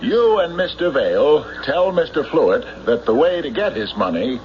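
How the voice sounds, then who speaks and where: An actor in an old-time radio drama playing a shrewd, relentless sheriff who questions suspects with deliberate, accusatory pauses. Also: male voice, a little high, sing-song, muffled recording. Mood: commanding, sardonic. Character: shrewd, relentless small-town sheriff interrogating murder suspects. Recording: noisy band-limited old radio broadcast recording.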